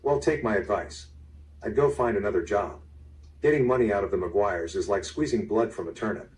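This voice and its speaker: Plain-spoken working foreman male actor, lowish register, audio drama delivery, distant speaker playback. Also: fairly quick, a little mellow, a little hiss or hum, lightly acted. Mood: blunt. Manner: plain-spoken, casual, direct. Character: blunt working construction foreman, tactlessly friendly. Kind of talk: mystery audio drama acting. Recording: distant, played through a small speaker.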